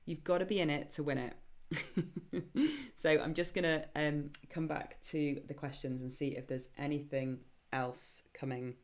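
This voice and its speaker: A woman hosting a live online Q&A session, chatty and enthusiastic, with many ums and a voice getting croaky. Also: low-pitched, steady tone, unhurried. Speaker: webinar host and program founder.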